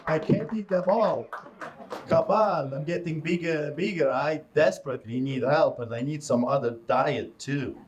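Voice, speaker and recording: Casting: middle-aged man, Polish accent, street preacher, forceful and mocking, fairly high voice. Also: heavy background noise. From Poland. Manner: forceful, mocking, rising to shouts. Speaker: street pastor. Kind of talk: fiery preaching to a crowd.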